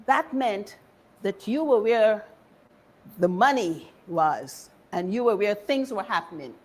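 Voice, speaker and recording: A woman from Barbados giving an informal history lecture in a deliberate, engaging way, putting questions to her listeners. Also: mid-range voice, animated, a little mellow, slightly rough.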